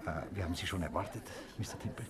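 An actor playing an eager, deferential hotel waiter in a 1950s German radio drama. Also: male voice, low-pitched, very fast, a crowd in the background, lightly acted. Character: brisk, obsequious male hotel waiter.

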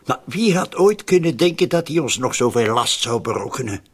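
Suspicious criminal schemer, husky, very animated intonation, halting, insistent, brooding, radio play. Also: male voice, medium pitch. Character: uneasy, suspicious male criminal plotter, brooding over a murder. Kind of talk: Dutch-language radio play acting.

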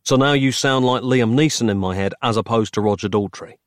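A man's voice: medium pitch, brisk, no room sound, clean studio sound, close to an everyday voice. A man playing an exasperated, argumentative comic hero in an audiobook, emphatic and querulous. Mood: alarmed, questioning.

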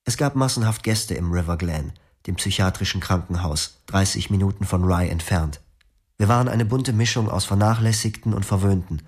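A young former psychiatric patient who narrates a radio drama in the first person. The voice is low and the tone is quiet and melancholic. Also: male voice, normal speed, husky. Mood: reflective. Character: young male patient recalling his clinic past.

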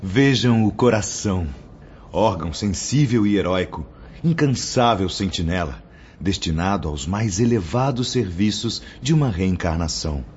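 Actor as a solemn deep-voiced spirit teacher. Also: male voice, animated, a little mellow, a little hiss or hum. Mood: solemn, reverent. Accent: Brazilian. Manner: reverent, declamatory, measured. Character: Brother Clemente, solemn male spirit teacher instructing pupils. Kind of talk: Brazilian radio drama acting. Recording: dull lo-fi audio under music.

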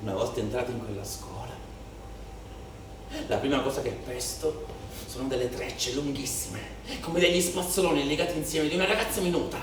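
A man's voice: mid-range voice, brisk, close to an everyday voice. An actor playing a troubled policeman in an intense theatrical monologue with repetitive, rhetorical phrasing. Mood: troubled, subdued. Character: troubled young policeman confessing his part in riot violence. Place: theatre stage or performance space. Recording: very echoey room, distant mic, some noise.

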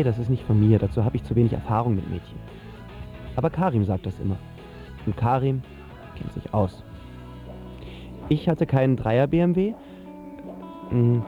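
Man acting inexperienced lovestruck boy, would-be cool, colloquial radio drama narration, medium pitch, phone-like band, clear guitar backing. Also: close to an everyday voice. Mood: cocky, self-deprecating. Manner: colloquial, emphatic, self-deprecating.

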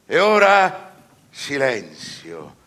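An actor playing a grave, anguished, doomed scholar in a verse radio drama, with solemn declamatory delivery. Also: male voice, on the high side, wildly swooping pitch, extremely slow, pushed and bright, heavily acted. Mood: solemn, courteous.

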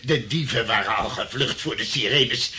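A man's voice: medium pitch, moderate pace, pushed and bright. Scornful middle-aged male police detective, radio play, very tense edgy voice, emphatic accusations. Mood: bitter, scornful. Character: bitter, shabby police detective around fifty, betrayed colleague.

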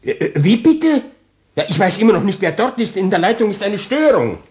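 A man's voice: high voice, big pitch swings, a little fast, a little mellow. An actor playing an irascible, pompous, easily flustered male office boss and husband in a German radio play. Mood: exasperated. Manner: pompous, fussy phrasing, blustering.

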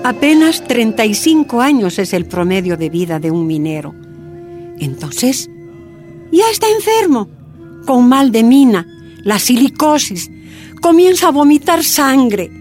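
Una actriz que interpreta a la humilde esposa boliviana de un minero, dando su testimonio con seriedad en una radionovela. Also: female voice, a little high, very animated, unhurried, darkish tone, soft background music. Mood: sombre. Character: Bolivian miner's wife, humble, plain-spoken, recalling hardship. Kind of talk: dramatized first-person testimony in a radio drama.

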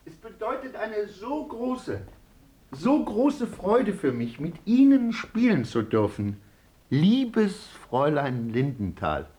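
Anxious opportunist with a husky voice. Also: male voice, high voice, big pitch swings, takes it slowly, dark tone, exaggerated voice. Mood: fawning. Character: anxious opportunistic actor, ingratiating and self-justifying. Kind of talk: German radio drama acting.

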